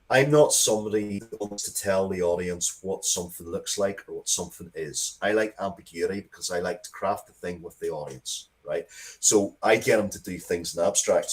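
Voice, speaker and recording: A man with a low-pitched voice talks casually as a guest in an interview. He rambles along conversationally, interrupting himself and circling back to restart his thoughts. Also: poor audio. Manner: rambling, self-interrupting, restarts sentences often. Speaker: interview guest, comics and game writer.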